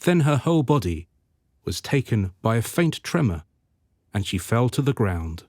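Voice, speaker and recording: A man's voice: medium pitch, big pitch swings, normal speed, a little mellow, dry recording, studio quality. A man narrating a period radio drama as a solemn storyteller, with scripted dramatic delivery.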